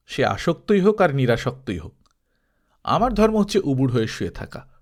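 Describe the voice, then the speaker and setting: A man reading a literary travelogue aloud as an expressive, dramatized audiobook storyteller. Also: a little high. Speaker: audiobook narrator.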